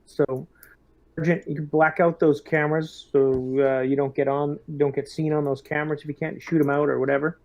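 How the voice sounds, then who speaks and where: A man in a tabletop roleplaying game, speaking as a lieutenant in deliberate, hesitant military talk. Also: fairly high pitch, level tone, muffled recording.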